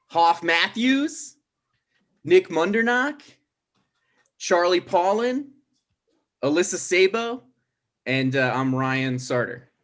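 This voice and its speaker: A man's voice: sits high, a bit bright. A man casually introducing a table read as its writer and host, hesitant and informal.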